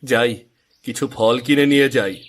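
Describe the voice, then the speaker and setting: A man's voice: mid-range voice, normal speed, close to an everyday voice. Man acting a bitter, complaining village farmer. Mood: regretful, determined. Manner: dramatic, complaining. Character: bitter, superstitious village farmer, blaming and self-pitying. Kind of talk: moral-story cartoon dubbing.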